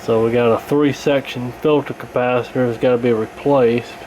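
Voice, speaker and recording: A man narrating a repair casually in an unhurried, halting, matter-of-fact way. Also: medium pitch, fairly even intonation, noisy background. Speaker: radio repair hobbyist presenting.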